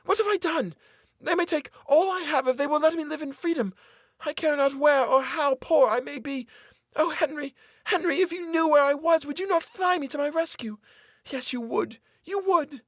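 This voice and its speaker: A man's voice: normal speed, a bit bright, dry recording. A man doing a desperate, pleading captive young woman. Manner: high-pitched, desperate, pleading. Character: frightened young woman imprisoned in an asylum, pleading.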